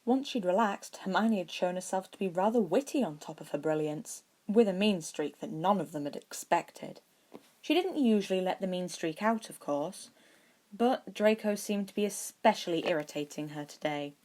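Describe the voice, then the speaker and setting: A woman's voice: fairly low pitch, expressive, moderate pace, a little mellow. A woman reading scripted fiction aloud as an audiobook-style narrator.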